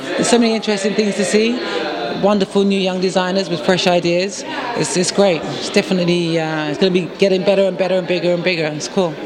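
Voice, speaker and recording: Italian-born buyer, fast and enthusiastic, off-mic amid a loud crowd. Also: mid-range voice, steady tone. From Italy. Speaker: fashion buyer.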